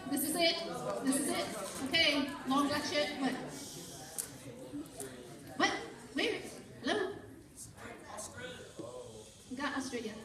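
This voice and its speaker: A woman's voice: high-pitched, slow pace, long pauses. A woman teaching a class, playfully teasing her students as they guess where she is from. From Burbank, California. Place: large classroom or hall. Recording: echoey room, distant mic, chatter.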